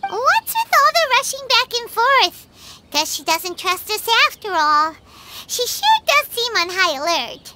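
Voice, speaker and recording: A woman's voice: extremely high pitch, sing-song, unhurried, heavy background noise, heavily acted. A woman voicing a tiny, chatty, cheeky childlike companion in a video game. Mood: curious chatty. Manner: refers to self in third person, chirpy.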